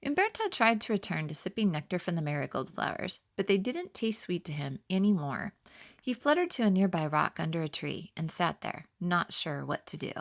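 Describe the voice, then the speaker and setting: Expressive, lower-voiced woman reading a story. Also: fairly quick. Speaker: author reading own story. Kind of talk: scripted children's story narration.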